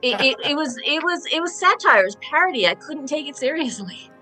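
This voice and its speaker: A woman's voice: sits high, very animated, brisk, pushed and bright, soft background music, rough recording. A woman giving opinions in a casual radio roundtable, telling it like a story and acting out quotes.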